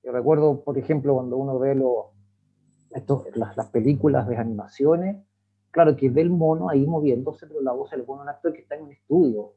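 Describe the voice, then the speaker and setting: A man with a Chilean accent, an actor speaking haltingly and reflectively in an online panel, searching for his words. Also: medium pitch, slightly rough. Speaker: actor in a theatre company. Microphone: video call. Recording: thin, phone-like video call audio.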